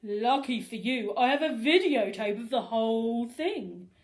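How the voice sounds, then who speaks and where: A woman voicing a stern, gloating male school principal in a storybook, slow and deliberate. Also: fairly high pitch, expressive, reverberant. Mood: smug, mock-friendly.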